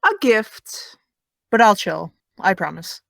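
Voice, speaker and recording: A woman's voice: mid-range voice, expressive, slow pace, gravelly, a bit bright, no echo, studio quality, full character voice. Young woman playing a blunt sarcastic teen girl. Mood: sardonic. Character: blunt teasing high school girl, no filter. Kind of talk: audio drama.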